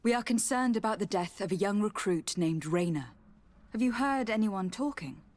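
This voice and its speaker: A woman's voice: mid-range voice, expressive, moderate pace, darkish tone, no echo, close to an everyday voice. A woman playing a poised, polite young noblewoman making enquiries in a video game. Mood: formal. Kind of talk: video game dialogue acting.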